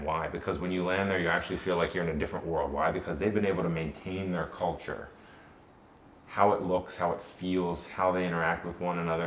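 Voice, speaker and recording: A man's voice: low voice, slight background noise. An American man answering interview questions, earnest and emphatic, posing rhetorical questions and answering them himself. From United States.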